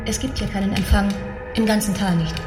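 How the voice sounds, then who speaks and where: An actress playing the composed, evasive housekeeper of a remote manor in a radio drama. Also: female voice, medium pitch, a little fast, roomy sound, music in the background, natural voice. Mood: uncannily polite. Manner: polite, calm, evasive. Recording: radio play with score beneath.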